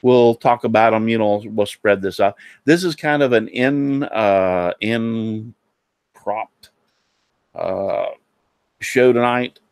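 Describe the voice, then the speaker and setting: A man hosting a casual live-streamed woodworking talk show, sounding nasal and a little hoarse, rambling with many fillers and restarts. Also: fairly low pitch, takes it slowly, darkish tone, no echo.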